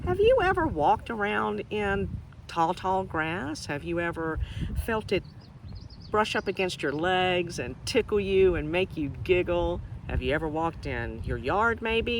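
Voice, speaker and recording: A woman teacher reading a picture book to young children outdoors, expressive and asking them questions directly as she explains things simply. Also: on the low side, noisy background. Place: outdoors in nature.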